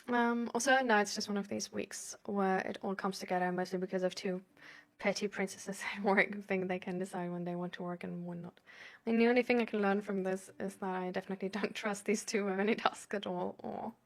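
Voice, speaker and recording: A woman from Germany chatting casually as a live host, rambling and thinking aloud in a hesitant, giggly way. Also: medium pitch. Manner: giggly, laughs often while talking. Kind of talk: casual chat.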